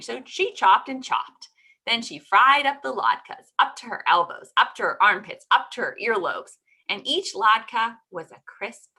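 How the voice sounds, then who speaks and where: A woman reading a children's story aloud as an expressive narrator. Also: on the high side, slightly rough. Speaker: storybook reader.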